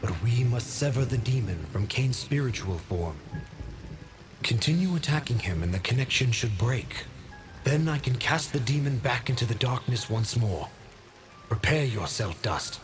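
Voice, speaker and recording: A man voicing a wise talking sword in a fantasy video game, measured, solemn and commanding. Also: medium pitch, expressive, natural voice. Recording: loud music and storm behind.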